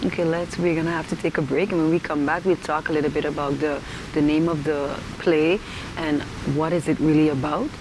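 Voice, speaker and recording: A man's voice: fairly high pitch, level tone, a little fast, background noise. A man hosting a conversational interview, putting questions to a guest. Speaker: interviewer, show host.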